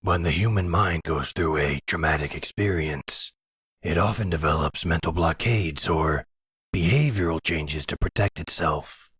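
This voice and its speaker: A man acts out a fatherly werewolf pack leader for a roleplay audio. The voice sits extremely low and is heavily husky. He guides in slow, measured, formal phrases, calm and soothing, with a very dry, close sound. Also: no echo, exaggerated voice. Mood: measured, explanatory.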